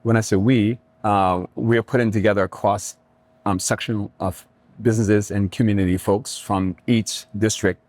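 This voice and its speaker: A middle-aged man from Jamaica talking reflectively as an interview guest, pausing often mid-thought. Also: on the low side, steady tone, a little mellow. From Kingston, Jamaica. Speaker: restaurateur and community leader, podcast guest.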